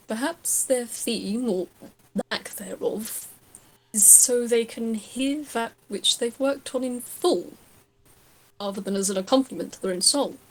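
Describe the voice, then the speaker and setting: Woman playing a measured, musing, kindly man. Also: on the high side, rough recording. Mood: tentative, thoughtful. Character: Lau, patient, musing, kindly playful man.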